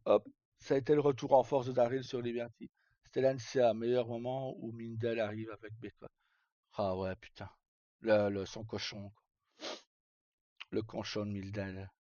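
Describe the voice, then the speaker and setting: Male streamer, relaxed dark tone, colloquial rambling storyteller, slightly muffled audio. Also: medium pitch, normal speed, no room sound. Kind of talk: casual live chat, recounting roleplay stories.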